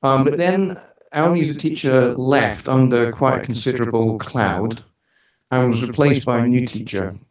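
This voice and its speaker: A man's voice: mid-range voice, normal speed. A man in his forties with a mixed Scottish British accent, telling stories casually in a chatty, informal, lightly joking way. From Scotland, grew up on the road around the UK. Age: 40s. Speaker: interview guest, musician and studio owner. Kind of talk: casual conversational storytelling. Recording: echoey room, distant mic, dull sound.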